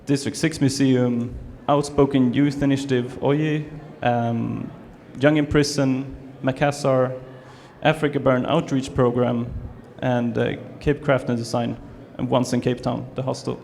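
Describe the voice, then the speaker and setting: Gravelly Swedish man in a hall. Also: medium pitch, little pitch movement, a little slow, a little mellow. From Sweden. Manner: hesitant, many fillers and restarts. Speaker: student presenter. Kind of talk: presentation to an audience. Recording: reverberant hall, faint ambience.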